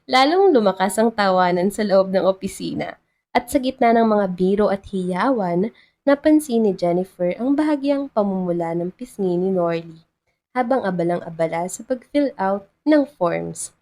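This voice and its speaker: Woman storyteller reading a romance script, dramatic narration, mid pitch. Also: animated, moderate pace, darkish tone.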